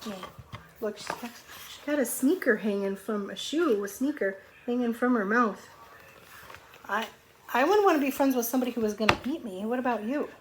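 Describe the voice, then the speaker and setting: A woman's voice: fairly high pitch, normal speed, background noise. A woman teacher reading a storybook aloud to young children, expressively, with conversational asides and questions.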